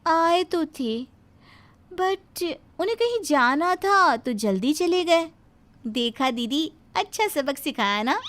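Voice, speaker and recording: A woman's voice: sits high, animated, takes it slowly, a bit bright, heavily acted. A woman playing a cheeky, lazy young daughter-in-law in a moral story, talking with exaggerated mock politeness. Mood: feigned innocence. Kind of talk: scripted Hindi moral story acting.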